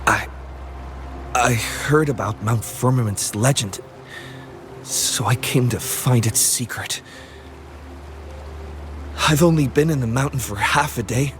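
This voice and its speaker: Man acting a slow, strained, injured male explorer. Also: medium pitch, background noise, lightly acted. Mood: pained, urgent. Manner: halting, strained, slow delivery. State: weak and in pain. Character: injured desperate male explorer, devoted to someone waiting. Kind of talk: video game voice acting.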